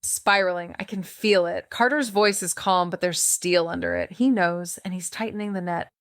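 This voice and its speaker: An actress playing a defiant young amateur sleuth in a noir audio drama, blunt and slangy, with anxious sardonic edge. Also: female voice, lowish voice, normal speed, no echo, studio quality, lightly acted. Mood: tense, rattled. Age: young adult. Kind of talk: noir audio drama dialogue and inner narration.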